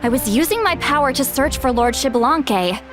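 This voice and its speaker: A wry confident fire leader. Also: female voice, sits high, animated, moderate pace. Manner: dry, wry, teasing. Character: confident powerful fiery woman leader. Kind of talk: game voice acting. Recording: game audio with music.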